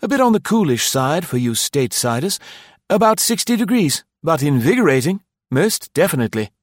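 A man's voice: fairly high pitch, sing-song, brisk, no echo, clean studio sound, voice pushed up. A man voicing a cheerful, fussy British male guesthouse host in an audiobook, jaunty and effusively polite, with clipped phrases. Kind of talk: audiobook character voice.

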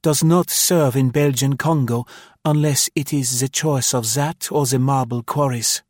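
A man's voice: mid-range voice, fairly even intonation, a little slow, darkish tone, no echo, studio quality, voice pushed up, close to an everyday voice. A man voicing an imperious, passionate young female spy, speaking with heightened emphasis.

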